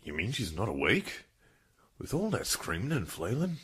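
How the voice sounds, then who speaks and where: A man playing a skeptical, questioning lab colleague in a horror audio drama, with a husky voice. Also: fairly low pitch, wildly swooping pitch, normal speed, fairly bright tone, heavily acted. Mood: surprised, skeptical.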